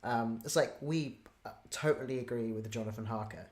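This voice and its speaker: A woman's voice: very deep voice, normal speed, a little mellow. A woman interviewer chatting casually and enthusiastically, restarting her thoughts as she goes.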